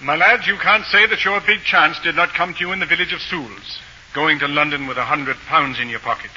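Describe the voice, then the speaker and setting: A man's voice: on the high side, brisk, bright, forceful tone. An actor playing a sceptical village butcher and father in a 1940s radio drama, dry, sardonic and a little pompous. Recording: dull old radio recording with hiss.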